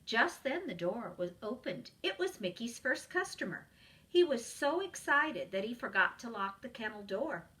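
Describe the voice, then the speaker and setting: Bright, expressive woman reading a storybook. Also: on the high side, normal speed, distant mic, low-quality recording. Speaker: preschool teacher reading aloud. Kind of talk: expressive children's storybook narration.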